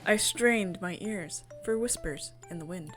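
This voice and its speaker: A woman's voice: on the low side, unhurried. Theatrical woman reading aloud over music. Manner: theatrical, expressive phrasing. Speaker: streamer reading a visual novel aloud. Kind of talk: dramatic story narration with casual asides.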